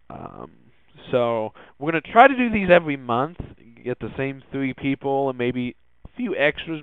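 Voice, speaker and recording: A man hosting a podcast in a casual, hesitant way, often halting and repeating his words. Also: mid-range voice, clean tone, no room sound. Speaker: podcast host. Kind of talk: casual solo tech podcast talk. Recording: muffled, phone-like narrow-band audio.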